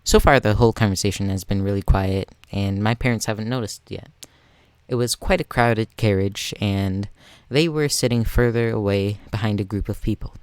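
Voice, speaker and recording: A man's voice: on the low side, moderate pace, clear, resonant tone, dry recording. Young teenage boy reading aloud, dry close sound. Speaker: video narrator reading online posts. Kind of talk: reading a Reddit story aloud.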